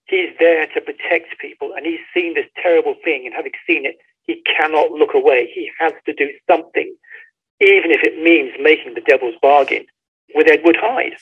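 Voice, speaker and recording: A man's voice: on the high side, normal speed, breathy edge. A man, a guest author explaining a story in emphatic, conversational storytelling over a phone call. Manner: emphatic storytelling, acts out quoted dialogue. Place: remote call. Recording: tinny phone line.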